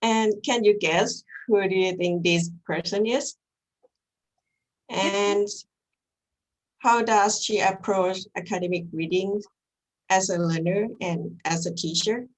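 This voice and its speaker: A young woman with a Thai accent, Thai being her first language, presents her graduate project at an unhurried, deliberate pace. Her voice sits at a middling pitch, and she speaks earnestly with occasional restarts. She is heard through a computer microphone, as on a video call. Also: steady tone, poor audio. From Thailand. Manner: measured, earnest, slightly halting delivery. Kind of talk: academic presentation.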